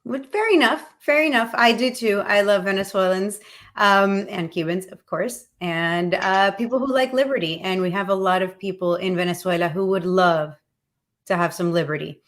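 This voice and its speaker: A woman host from Cuba, bubbly and playful. Her voice sits at an ordinary middle pitch. She laughs easily through her casual talk. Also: normal speed. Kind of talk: casual chat.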